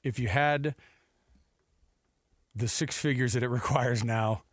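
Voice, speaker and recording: A man's voice: mid-range voice, takes it slowly, no echo. An American man hosting sports talk radio in a casual, conversational style on a studio microphone. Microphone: close studio radio microphone.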